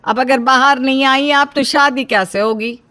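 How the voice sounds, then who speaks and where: Woman as an exasperated, high-voiced mother. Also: steady tone, a little slow, sharp tone. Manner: scolding, pleading. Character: exasperated middle-aged mother of the bride, bossy, worried.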